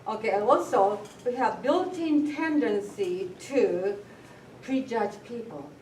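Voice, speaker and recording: A woman who grew up in Japan, speaking thoughtfully with frequent pauses in a group discussion. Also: on the high side, animated, a little mellow. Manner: thoughtful, pausing often with fillers. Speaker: forum participant. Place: meeting room with a group. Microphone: room mic at a distance. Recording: echoey room, distant mic, faint noise.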